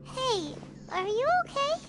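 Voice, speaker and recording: A woman voicing a tiny, childlike, excitable floating companion in a video game, squeaky and expressive. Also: takes it slowly, very noisy, full character voice. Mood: curious, concerned.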